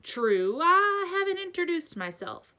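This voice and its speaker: A big, gruff giant of a man from a children's storybook, acted out by a woman. He talks bluntly and heartily in colloquial phrases, with a bright, forceful edge. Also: very high voice, big pitch swings, unhurried, voice pushed up, full character voice. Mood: jovial.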